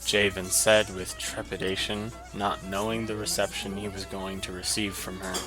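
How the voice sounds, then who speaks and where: A man narrating a fantasy short story in an even, descriptive manner. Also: sits low, moderate pace, music in the background, rough recording.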